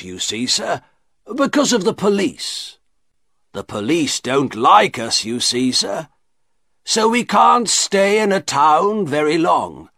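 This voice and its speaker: A man's voice: on the high side, gravelly, a bit bright, husky, dry recording, studio quality, pitched above the performer's own voice, exaggerated voice. A man voicing a shifty English shopkeeper in a storybook, by turns bossy and obsequious, boastful and repeating himself. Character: fawning, shifty English shopkeeper showman, bossy to his captive. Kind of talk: audiobook character voice.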